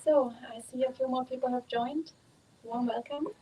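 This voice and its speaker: A woman from Germany with a German accent, a Buddhist nun giving a calm, measured dharma talk at a monastery, pausing often with ums. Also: high voice, a little hiss or hum, poor audio.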